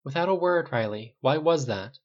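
A man voicing a calm, gentle but firm male caretaker with a quiet, frank manner in an audiobook story. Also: medium pitch, fast, dull audio, voice pushed up, natural voice. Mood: gently firm. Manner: quiet, soft, frank, uncompromising.

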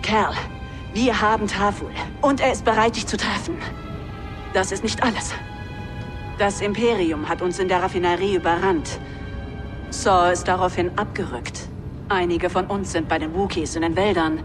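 An actress playing a serious, caring resistance fighter in a game audio drama, reporting earnestly. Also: female voice, medium pitch, steady tone, normal speed, natural voice. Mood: grave, concerned. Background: music.

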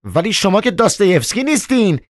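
A man's voice: mid-range voice, big pitch swings, dry recording, studio quality, voice pushed up, natural voice. A man voicing a pale, weary woman doorkeeper who makes curt, clipped demands.

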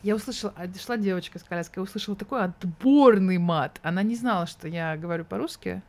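A woman's voice: mid-range voice, quick pace, a little mellow. A woman in her thirties speaking as an interview guest, candid and thoughtful with an ironic edge.

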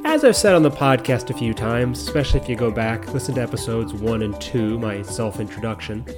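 A middle-aged man with a mixed American accent and faint Southern roots, hosting a podcast in slow, clear teaching talk for learners. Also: medium pitch, music in the background. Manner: calm, explains step by step, repeats key points. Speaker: podcast host.

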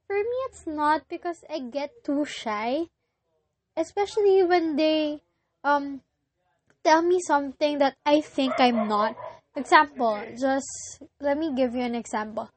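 A young woman with a Filipino accent, whose first language is Filipino, hosting a casual solo podcast and often pausing to search for words. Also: high-pitched. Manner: hesitant, searching for words with many pauses. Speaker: podcast host.

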